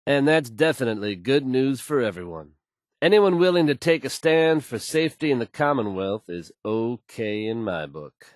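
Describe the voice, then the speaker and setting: A man's voice: medium pitch, sing-song, normal speed, no room sound, clean studio sound, lightly acted. A man playing a self-assured radio newscaster in a video game, fluent and folksy as he announces the news. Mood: upbeat, assured. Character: confident, smooth, assured male radio newscaster.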